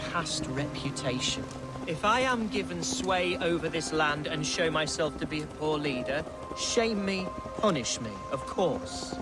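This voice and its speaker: An actor playing an eager young Saxon nobleman, jovial and a little bumbling, in a game cutscene. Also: a little high. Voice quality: lively, jovial, a bit bumbling. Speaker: game character. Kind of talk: video game cutscene acting. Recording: game audio with loud music.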